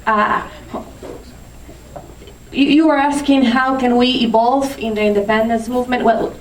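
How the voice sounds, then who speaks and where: A woman's voice: a little high, steady tone, measured pace, slight background noise. A woman on a conference panel, answering an audience question hesitantly, with many fillers and restarts. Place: conference room. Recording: roomy, distant from the mic.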